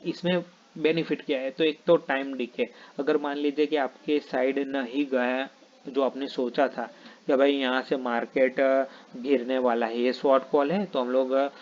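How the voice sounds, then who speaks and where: A man whose first language is not Hindi, explaining options trading in a halting, filler-heavy way and often checking in with "ठीक है". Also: mid-range voice, flat delivery, dull audio, a little hiss or hum. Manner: halting, many fillers and restarts, repeats "ठीक है". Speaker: trading teacher.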